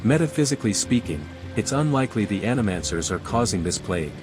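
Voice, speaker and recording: A man's voice: fairly low pitch, big pitch swings, quick pace, music in the background. An actor voicing a courteous, composed male scholar envoy in a video game. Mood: suave. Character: smooth-talking, reasonable male envoy, godlike scholar adventurer.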